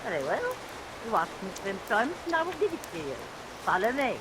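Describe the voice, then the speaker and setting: A woman's voice: on the high side, fairly quick. A woman playing a haughty, sinister employer in a vintage radio melodrama, speaking with formal, imperious and ominously polite menace. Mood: haughty, curt. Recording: vintage radio recording, steady rain noise.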